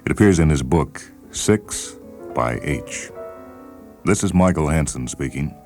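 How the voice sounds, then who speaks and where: An American man reading a literary short story as narrator, in a measured, deliberate manner. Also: extremely low pitch, animated, darkish tone, faint music behind. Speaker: narrator and reader of short stories.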